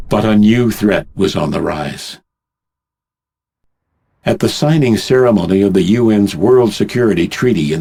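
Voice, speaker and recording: A man narrating a game cutscene as a grave, measured teller of world events. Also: on the low side, creaky, a little mellow, a little hiss or hum. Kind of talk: scripted dramatic narration.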